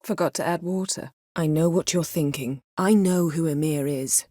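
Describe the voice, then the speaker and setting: A woman voicing a haughty, sharp-tongued sorceress in a video game, guarded yet devoted, speaking in an imperious, formal and wry manner. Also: sits low, moderate pace, dry recording, clean studio sound. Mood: composed, earnest. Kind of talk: acted video game dialogue.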